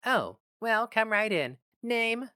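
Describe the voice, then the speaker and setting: A man's voice: high voice, very animated, takes it slowly, pushed and bright, smooth, no room sound, clean studio sound, pitched above the performer's own voice, full character voice. A man voicing a courteous, chirpy female receptionist in a story reading. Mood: welcoming, polite.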